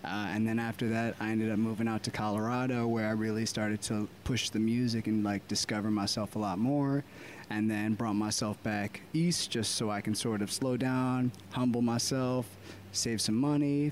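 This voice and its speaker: A man in his twenties from Baltimore, a DJ talking casually and reflectively about his life as an interview guest, with frequent "uh" pauses. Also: on the low side, slight background noise. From Baltimore, USA. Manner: relaxed, reflective, many "uh" pauses. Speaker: podcast guest, DJ.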